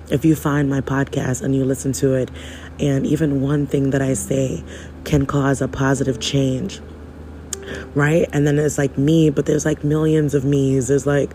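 A man, hoarse from losing his voice, giving a rambling, preachy spiritual podcast monologue in casual slang. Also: mid-range voice, warm tone, slight background noise, poor audio.

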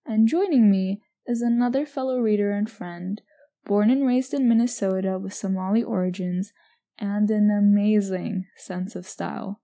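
Young female podcast host, mellow tone, chatty, filler-heavy. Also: medium pitch, normal speed. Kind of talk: casual chat.

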